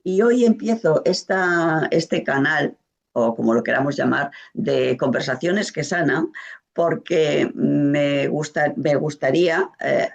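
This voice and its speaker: A man hosting a conversational interview, speaking haltingly as he searches for words. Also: fairly high pitch, vocal fry. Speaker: host.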